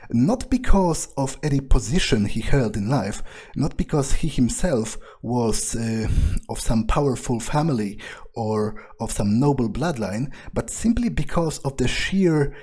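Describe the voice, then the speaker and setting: Husky, mellow man narrating a role-play. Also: medium pitch. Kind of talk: improvised character backstory narration. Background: background music.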